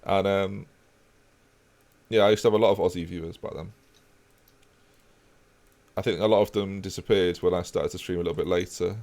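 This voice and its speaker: A man's voice: sits low, brisk, vocal fry, long pauses. A man casually chatting with his live-stream viewers while playing a football management game. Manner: relaxed, chatty, talks to chat while playing.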